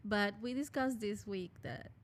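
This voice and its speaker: A woman's voice: medium pitch, a little slow, a little hiss or hum. Giggly woman co-host. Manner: giggly, short interjections, slightly non-native grammar. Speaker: podcast co-host. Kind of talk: casual podcast chat.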